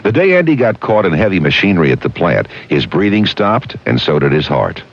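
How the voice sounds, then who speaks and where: Deep, husky male radio announcer. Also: very animated, brisk. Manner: direct, persuasive. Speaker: public service announcer. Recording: dull old radio broadcast recording.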